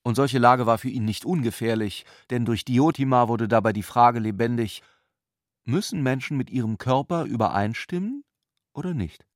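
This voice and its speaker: A man performing as the contemplative narrator of a literary radio drama, with deliberate, reflective phrasing. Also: mid-range voice, no room sound, studio quality, natural voice.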